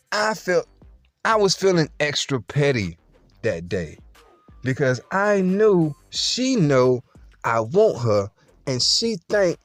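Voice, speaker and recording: Animated storytelling man with street slang. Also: fairly high pitch, dry recording. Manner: streetwise, playful, boastful slang, addresses listeners directly. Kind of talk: casual storytelling to viewers.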